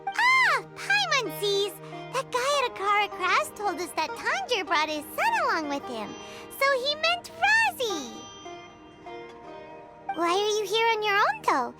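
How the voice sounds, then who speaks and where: A woman voicing a tiny, excitable childlike fairy companion in an anime game, squeaky and exclamatory. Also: a little slow, exaggerated voice. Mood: surprised, inquisitive. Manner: exclamatory, speaks of herself in third person. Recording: loud music under the voice.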